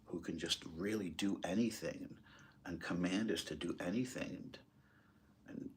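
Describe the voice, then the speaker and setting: An American man giving impassioned, off-the-cuff commentary in a halting, emphatic way. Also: sits low, a bit bright, slightly rough. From United States, moved there as a small child. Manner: halting, emphatic, rhetorical questions. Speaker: political commentator.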